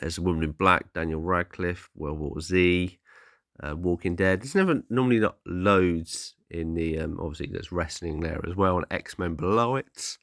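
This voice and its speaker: A man with a slightly hoarse, croaky voice, giving a casual, meandering, apologetic voice-over commentary as a vlogger. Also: extremely low pitch, fairly bright tone, no room sound, studio quality. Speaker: vlogger, film collector.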